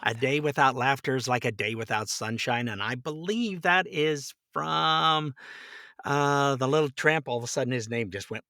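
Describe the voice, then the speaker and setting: A man with a bright, forward voice talks casually as a guest on a podcast. His manner is lighthearted and folksy, and the sound is close and very dry. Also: mid-range voice, moderate pace, no echo. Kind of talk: casual chat.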